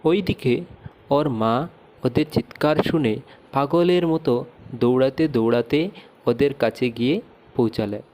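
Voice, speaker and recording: Slow-paced male cartoon storyteller. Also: mid-range voice, background noise. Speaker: storyteller, narrator. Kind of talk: scripted moral-tale narration for a cartoon.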